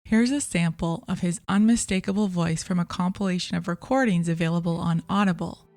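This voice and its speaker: A woman presenting a scripted podcast review in a measured, clearly phrased way as the host. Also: on the low side, steady tone, a little mellow, dry recording.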